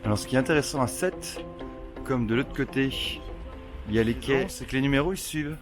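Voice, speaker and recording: A man's voice: medium pitch, a little fast. A man speaking casually as a travel vlogger, chatting and narrating his day. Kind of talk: casual chat and vlog narration. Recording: music behind the voice.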